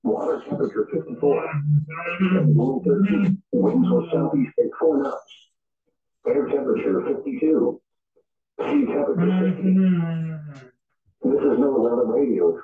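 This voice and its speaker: A synthetic man's voice reading an automated weather forecast as a radio announcer in clipped, formulaic phrases, played over a radio. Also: medium pitch. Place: radio speaker playing in a home room. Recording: dull radio playback in echoey room.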